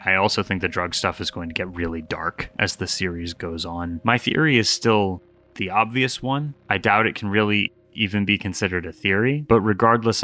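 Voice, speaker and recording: A man's voice: sits low, pushed and bright. A man hosting a commentary video in an informal, opinionated way, moving between scripted recap and casual asides. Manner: informal, opinionated, mild swearing. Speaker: host. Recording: music under the voice. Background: background music.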